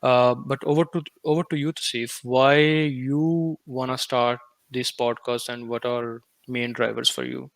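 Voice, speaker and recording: A man's voice: medium pitch, little pitch movement, creaky, no room sound. Pakistani-born man hosting a podcast. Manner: halting, with many fillers, mixing English and Hindi-Urdu. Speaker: podcast host. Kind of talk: casual podcast discussion.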